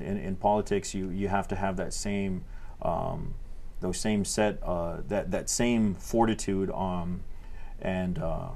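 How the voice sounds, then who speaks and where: A young man from Tonga, a state legislator answering interview questions in a halting way, full of fillers and restarts. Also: low-pitched, a little mellow. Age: young adult. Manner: hesitant, many fillers and restarts. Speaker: legislator guest.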